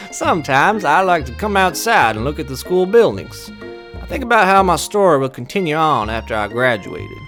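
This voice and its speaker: Man playing a smug young colonel chef, grandiose and coy, animated pitch, music behind. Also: moderate pace. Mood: grandly ambitious. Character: smug ambitious young male student chef, folksy southern colonel.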